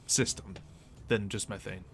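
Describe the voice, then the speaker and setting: A man's voice: fairly low pitch, steady tone, a little slow, a bit bright, slight background noise. A man running a tabletop roleplaying game as game master, narrating in a playful, descriptive way among friends.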